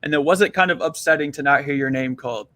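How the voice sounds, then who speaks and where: A man's voice: a little high, quick pace, pushed and bright, no room sound. A man hosting a casual interview, upbeat and easygoing, laughing along with his guest. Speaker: podcast host.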